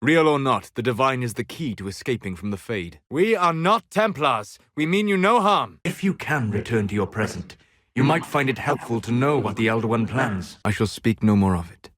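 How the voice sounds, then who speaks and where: A scholarly male elven mage from a video game. He is melancholy and self-possessed, choosing formal words with deliberate care. The sound is dry, with no room around it. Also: medium pitch, animated, fairly bright tone, no room sound. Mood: urgent, forceful. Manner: measured, deliberate, formal phrasing. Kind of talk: scripted video game character dialogue.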